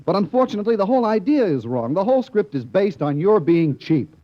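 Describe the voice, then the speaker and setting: A man's voice: high-pitched, sing-song, fast. An actor playing a frank male television director who explains things bluntly in a 1950s radio comedy. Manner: explanatory, lecturing delivery. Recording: dull lo-fi old radio recording.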